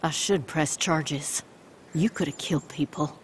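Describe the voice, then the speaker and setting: An actress playing a stern but compassionate woman in a video game, with formal, measured phrasing. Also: sits low, slight background noise. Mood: stern, cautioning. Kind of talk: video game cinematic dialogue.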